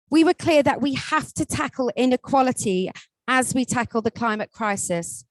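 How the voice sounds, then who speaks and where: A woman's voice: high-pitched, dry recording, low-quality recording. A woman from England, a council leader, opening and moderating a policy panel with a formal welcome and frequent ums. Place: conference hall. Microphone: table microphone.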